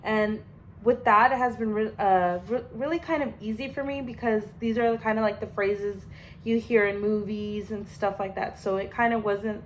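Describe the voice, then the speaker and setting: A woman's voice: medium pitch, moderate pace, a little hiss or hum. Chatty young woman talking through a project. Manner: chatty, full of fillers and restarts. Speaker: language student. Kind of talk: casual spoken class presentation.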